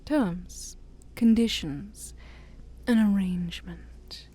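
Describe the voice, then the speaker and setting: Ancient forest demon princess, played by a woman: haughty and sly, mellow near-whisper, unhurried ASMR roleplay delivery. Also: mid-range voice. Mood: coaxing, sly. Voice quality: breathy, often near-whispered. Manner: slow, teasing, haughty, theatrical. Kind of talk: soft-spoken ASMR roleplay.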